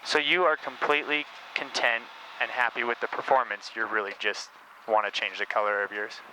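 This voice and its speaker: A man's voice: mid-range voice, fairly quick, poor audio. A man talking casually and informally as he explains and reviews gear for a car vlog. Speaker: host of a car-build video channel. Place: inside a loud race car cabin. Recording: steady vehicle noise behind voice.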